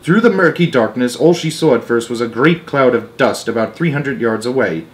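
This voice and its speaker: A man narrating a children's book aloud in an expressive way, with dramatic emphasis phrase by phrase. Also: medium pitch, moderate pace. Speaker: storyteller.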